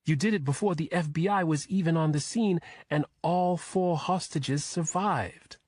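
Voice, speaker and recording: A man's voice: fairly high pitch, normal speed, darkish tone, dry recording, studio quality, voice pushed up, natural voice. A man voicing an earnest, polite young woman with a formal, courteous manner in audiobook dialogue. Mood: excited admiration.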